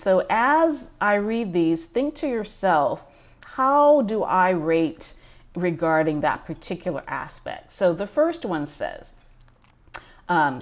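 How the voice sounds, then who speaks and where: A woman hosting a book club podcast, talking through a list in a casual, enthusiastic way with frequent ums. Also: on the low side.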